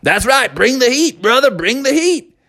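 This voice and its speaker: Storybook role: excitable young bear boy, high and swooping, a man voices him. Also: moderate pace, exaggerated voice. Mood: excited.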